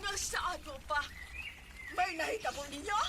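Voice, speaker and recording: A woman's voice: very high voice, a little slow. An actress with a Cebuano Bisaya accent playing an alarmed, insistent middle-aged mother scolding in a radio drama. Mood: stern, demanding. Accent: Filipino, Cebuano Bisaya. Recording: very noisy audio with ambient sound.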